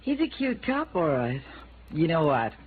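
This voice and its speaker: On a tinny vintage radio recording, a flirtatious noir widow. Her pitch swoops up and down as she teases and needles, languid, brazen and a little tipsy. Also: big pitch swings, a little slow, slight background noise. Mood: flirty, brazen. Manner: languid, teasing, provocative. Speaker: supporting actress in a radio drama. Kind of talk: 1950s radio noir acting.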